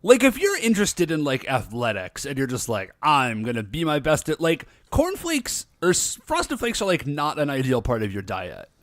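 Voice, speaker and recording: A man's voice: a little high, huge pitch swings, moderate pace, few pauses, no echo, clean studio sound. A man co-hosting a podcast, chatting casually in a playful, teasing way. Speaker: podcast co-host. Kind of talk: casual chat. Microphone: recording earphones, studio mic.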